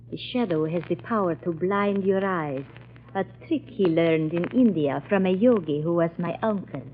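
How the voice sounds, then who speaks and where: An actress playing a deadly exotic villainess who speaks with mock politeness and chant-like menace in 1940s radio style. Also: female voice, on the low side. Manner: slow, incantatory, mock-polite. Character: exotic villainess, cobra charmer, silky and deadly. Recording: tinny, noisy old radio recording.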